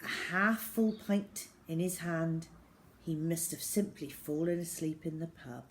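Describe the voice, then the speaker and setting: A woman reading a children's story aloud as narrator, in an expressive storytelling style. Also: deep voice, measured pace.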